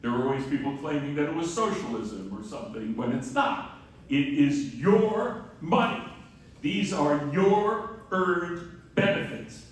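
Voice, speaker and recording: A man giving an emphatic public speech at a town hall with a loud, projecting voice, often posing rhetorical questions. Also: a little high, measured pace. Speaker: guest speaker from an advocacy group. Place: large echoey room at a public meeting. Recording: echoey hall, distant mic, faint noise.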